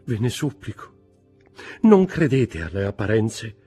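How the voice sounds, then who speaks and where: A man's voice: on the low side, animated, slow pace, darkish tone, slightly rough, faint music behind, full character voice. A man voicing a frightened young wife in an audiobook drama, stammering and begging in gasped, pleading exclamations. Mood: faint, pleading.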